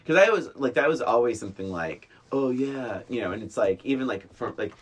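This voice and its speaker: A middle-aged man co-hosting a podcast, chatting casually about a film in an animated, teasing way and acting out quotes. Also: medium pitch, a little fast, slightly rough. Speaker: podcast co-host.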